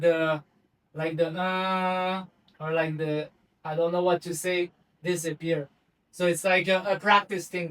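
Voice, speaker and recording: A man running a public speaking group, his voice high and heard from some distance off the mic. He explains points loosely, stacking up fillers as he goes. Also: fairly bright tone. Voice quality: rambling, many fillers. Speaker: group facilitator. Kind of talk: casual coaching feedback. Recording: voice a bit far from the mic.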